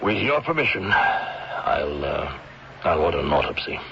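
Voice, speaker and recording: A man acting in a 1970s radio drama as a suspicious, firm doctor who questions people deliberately. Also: a bass voice, big pitch swings, vocal fry, heavily acted. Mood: defensive, insistent. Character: suspicious male doctor, old family friend, firm and probing. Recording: muffled, hissy 1970s radio broadcast.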